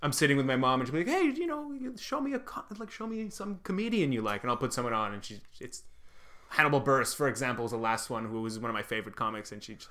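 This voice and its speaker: Fast-talking bright-voiced man. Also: medium pitch, animated. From Lebanon. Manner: rambling, swearing, self-deprecating jokes. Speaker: comedian and podcast co-host. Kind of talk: casual chat.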